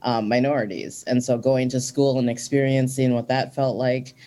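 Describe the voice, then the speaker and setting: An interview guest in their forties who grew up in Minnesota. They speak in an earnest, reflective way, stopping often on "um" as they think aloud. The voice sits at an ordinary middle pitch. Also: steady tone, moderate pace, dark tone. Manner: earnest and reflective, with frequent ums. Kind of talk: casual interview conversation.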